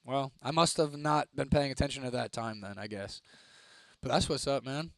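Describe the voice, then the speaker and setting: A young man from Ohio, chatting casually on a live stream, laid-back and swearing freely, his voice a little hoarse. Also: mid-range voice, normal speed, no echo. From Toledo, Ohio, United States. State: voice getting hoarse. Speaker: livestreamer. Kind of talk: casual live-stream chat reading comments.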